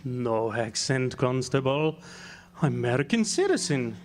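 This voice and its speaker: An actor playing a meek Sicilian immigrant farmer in a radio drama, speaking deferential broken English with an Italian accent. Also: male voice, mid-range voice, big pitch swings, measured pace, slight background noise. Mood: humble, wary. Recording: live amphitheater stage, music behind.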